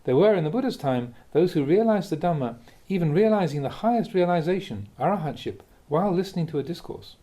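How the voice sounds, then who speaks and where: Monk guy reading dharma teachings, bit high voice, warm and mellow, measured with little chuckles. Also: lively intonation. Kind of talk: reading a dharma talk aloud.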